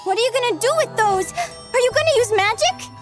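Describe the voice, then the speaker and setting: A woman's voice: extremely high pitch, animated, a little fast, faint music behind. A woman voicing a timid, proper young servant girl in a video game, hesitant and pleading. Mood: anxious.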